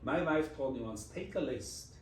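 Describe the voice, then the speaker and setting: A man's voice: medium pitch, lively intonation, moderate pace, a little mellow, recorded from a distance, a little hiss or hum. A Swiss man in his forties with a Swiss German accent, reflecting in a casual interview as a guest, speaking English as a second language. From Switzerland. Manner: reflective, non-native phrasing with restarts. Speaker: interview guest, design executive. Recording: roomy echo, voice from off-mic.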